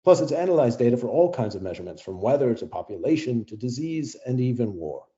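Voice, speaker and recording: A man's voice: mid-range voice, sing-song, fast, mellow, muffled recording, lightly acted. A man playing a blunt, coldly rational AI project leader lecturing in an audio play debate. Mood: coldly argumentative. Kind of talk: radio play debate acting.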